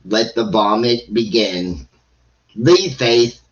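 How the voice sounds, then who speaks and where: A man talks slowly and bluntly over muffled stream audio. He is scornful and swears freely, commenting like someone reacting live online. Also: fairly low pitch.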